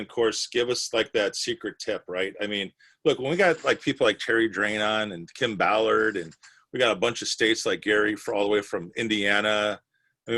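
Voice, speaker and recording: A man's voice: on the low side, fairly bright tone. A man co-hosting a live webinar panel over a video call, upbeat and prompting guests with questions. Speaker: webinar co-host. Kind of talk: casual panel moderating.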